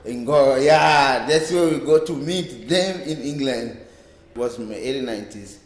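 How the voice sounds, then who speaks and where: South African man in his fifties, echoey room. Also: mid-range voice. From South Africa, Cape Town township. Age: 50s. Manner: halting, repeats words, rambling recollection. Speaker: interview guest, reggae bass player. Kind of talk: casual oral-history interview. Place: indoors in a library room. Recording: roomy, echoey indoor sound.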